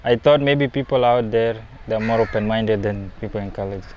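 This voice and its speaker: Low-voiced young man from South Sudan. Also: little pitch movement, moderate pace, darkish tone, muffled recording, slight background noise. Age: young adult. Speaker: interviewee. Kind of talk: documentary interview.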